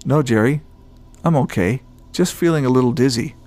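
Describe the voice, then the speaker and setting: A man voicing a stubborn, weakening male climber who speaks in a gruff, gasping way. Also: mid-range voice, normal speed, darkish tone, soft background music, natural voice. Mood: weak. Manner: weak, gasping, defiant.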